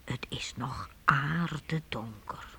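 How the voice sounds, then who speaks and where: A woman narrating a radio play as a deliberate, expressive storyteller reading a script. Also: rumbling low, background noise.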